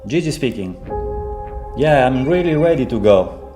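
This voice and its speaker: A male rally rider talks casually with simple, halting English phrasing. His pitch is average. Loud music plays over him. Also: animated. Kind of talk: casual talk in a race documentary.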